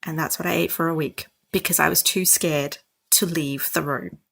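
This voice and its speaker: Lively woman with a gravelly edge. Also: low voice. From Sri Lanka. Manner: lively, self-mocking, quick bursts. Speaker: doctor, guest. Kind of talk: casual storytelling interview.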